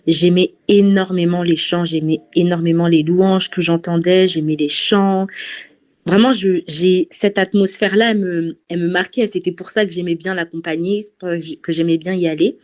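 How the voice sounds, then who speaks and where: A woman from France giving a personal testimony to a church gathering, pausing often and restarting her sentences. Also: on the low side, steady tone, a little mellow. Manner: hesitant, many fillers and restarts.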